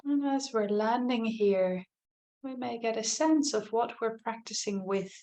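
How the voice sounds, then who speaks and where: A woman leading a guided body scan meditation as a teacher, speaking gently and without hurry, in soft invitations with long pauses. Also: a little high.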